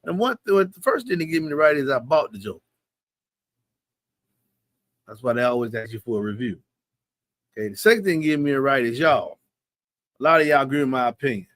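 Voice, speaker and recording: A man's voice: medium pitch, animated, brisk, long pauses, fairly bright tone, no echo. Brief: man, fifties, live-stream host, casual and confident, dry close sound. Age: 50s. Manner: loose, slangy, confident and talkative.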